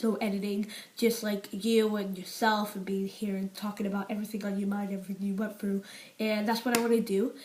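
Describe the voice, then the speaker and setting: Teenage girl, from the UK, candid vlog confession, rambling with fillers, soft near-whisper at times. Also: mid-range voice. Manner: rambling, many fillers and restarts; drops to a near whisper on painful topics. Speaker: vlogger. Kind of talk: unscripted personal confession to camera.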